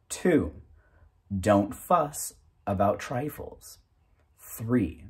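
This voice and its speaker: A man in his thirties reading a self-help booklet aloud in a deliberate, item-by-item way with pauses. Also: on the low side, darkish tone, husky. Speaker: reader.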